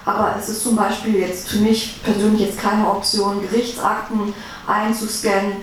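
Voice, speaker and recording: A woman's voice: medium pitch, level tone, normal speed. A woman guest speaker talking about her own work as a defense lawyer, recorded in a reverberant room. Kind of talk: personal talk to a live audience. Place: reverberant indoor event room. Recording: echoey room, distant mic, faint noise.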